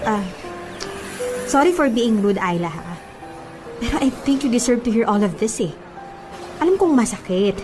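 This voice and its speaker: A woman's voice: fairly high pitch, lively intonation, normal speed, pauses often, lightly acted. An actress playing a blunt, exasperated young Filipino woman, the sassy best friend in a radio drama, nagging with dramatic sarcasm. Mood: blunt, scolding. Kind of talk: radio drama acting in Taglish. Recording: music behind the voice.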